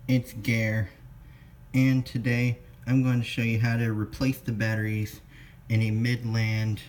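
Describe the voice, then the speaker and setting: A man presenting a casual step-by-step product demonstration in a halting, plain-spoken way. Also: mid-range voice, little pitch movement, darkish tone. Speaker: presenter of a how-to video. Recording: clear background noise with clicks.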